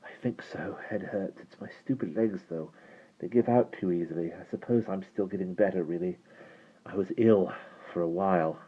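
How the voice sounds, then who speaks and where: A mild young English boy, voiced by a man reading a story, talks softly and hesitantly. The audio is narrow and phone-like. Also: sits low, steady tone, takes it slowly, slightly rough, exaggerated voice. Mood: weak, breathless. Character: English boy, mild, curious, easily winded. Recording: muffled, phone-like narrow band.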